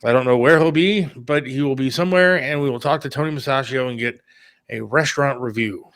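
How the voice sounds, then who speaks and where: A man's voice: mid-range voice, brisk, a bit bright, dry recording, studio quality. A man hosting a talk show, chatting casually in an informal, plain-spoken, conversational way. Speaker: radio producer and talk show host. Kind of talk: casual chat about an upcoming radio show.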